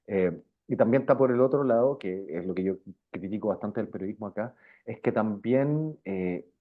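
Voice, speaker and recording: A man's voice: lowish voice, expressive, moderate pace. A Chilean man, middle-aged, speaking informally and with slang in a casual interview, often circling back over his phrases. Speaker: interviewed journalist. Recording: thin, phone-like band-limited sound.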